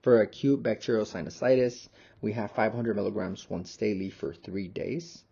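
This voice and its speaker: A young man narrates a slide lecture as a student presenter. His voice is low and creaky, with a relaxed, dark tone. He explains in a measured way. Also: lo-fi sound.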